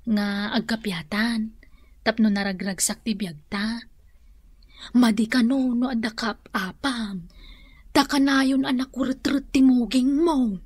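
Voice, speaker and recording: A woman's voice: on the high side, steady tone, slow pace, darkish tone, breathy edge, no echo. An actress playing a feuding, hot-tempered mother in a radio drama, with a Filipino accent and emotive delivery. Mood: soft, conciliatory.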